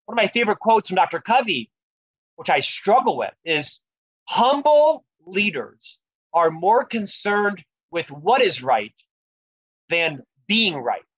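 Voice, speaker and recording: A man's voice: sits high, big pitch swings, a little slow, fairly bright tone, husky, dry recording, dull audio. A man with a lifelong stutter, speaking earnestly and reflectively as an author being interviewed about leadership. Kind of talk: podcast interview conversation.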